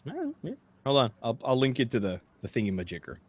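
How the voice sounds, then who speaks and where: A man's voice: mid-range voice, animated, fast talker. A man hosting a casual comedy podcast, rambling jokily and reading comments aloud. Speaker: podcast host.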